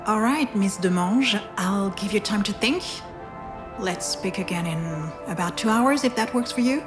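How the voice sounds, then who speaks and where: An actress plays a professional female doctor in a story game. She is formal, calm and courteous, with a medium-pitched voice and clear music underneath. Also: moderate pace, close to an everyday voice. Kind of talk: scripted video game dialogue.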